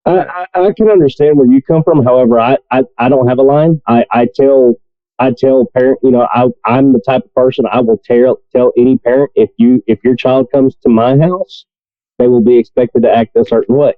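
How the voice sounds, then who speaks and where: A man's voice: mid-range voice, steady tone, brisk, no echo. Blunt man on a tinny line. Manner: blunt, firm opinions with stammering restarts. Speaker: podcast co-host. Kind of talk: casual chat.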